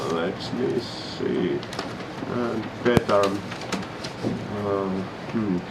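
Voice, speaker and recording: A man with a Finnish accent speaks English very slowly as Santa Claus, often pausing with fillers. His voice sits low. Steady noise from the room runs behind him. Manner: slow, hesitant, with many fillers. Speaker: man in a Santa Claus role greeting visitors. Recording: noisy room ambience.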